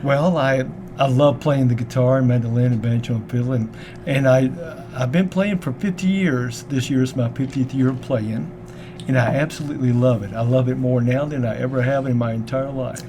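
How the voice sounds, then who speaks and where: An older man from Memphis with a strong Southern Tennessee accent talks eagerly and warmly, often doubling back on his words. His voice sits mid-range. The recording has clear background noise. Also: medium pitch, fairly even intonation, moderate pace. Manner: warm, enthusiastic, repeats words. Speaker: guest musician. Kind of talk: casual interview chat. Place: local radio studio.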